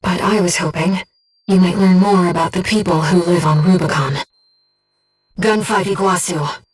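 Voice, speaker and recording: A woman voicing an earnest, gentle guide who speaks inside a pilot's head in a mecha video game, in measured scripted delivery. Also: on the low side, steady tone, husky, far from the mic, rough recording. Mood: earnest, reflective. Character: soft-spoken, earnest female voice in a pilot's head, loyal guide. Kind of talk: scripted video game voice acting, tactical callouts.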